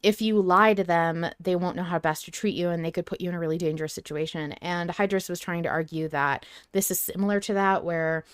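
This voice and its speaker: Casual low-pitched woman podcaster, dry sound. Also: fairly even intonation, brisk, few pauses, clear, resonant tone, dry recording. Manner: informal, freely swearing. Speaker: podcast co-host. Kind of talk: casual fan podcast discussion.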